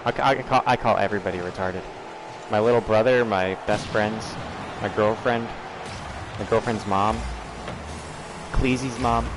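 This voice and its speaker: A young American man with a General American accent, chatting loosely and laughing as he streams a game and talks to his chat. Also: fairly low pitch. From United States. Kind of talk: casual livestream chatter over gameplay.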